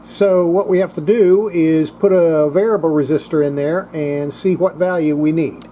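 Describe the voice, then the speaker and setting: Casual workshop demonstrator, mid-range voice, thinking aloud, plainspoken, steady noise behind. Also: medium pitch, normal speed. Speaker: radio repair demonstrator. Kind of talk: casual hands-on workshop commentary.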